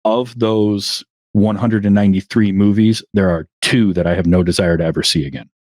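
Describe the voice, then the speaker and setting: Podcast guest, male, low-pitched, loose rambling delivery, dry close mic. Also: steady tone, moderate pace, darkish tone, no room sound. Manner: rambling, restarts often, chuckles at himself. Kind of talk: casual interview chat.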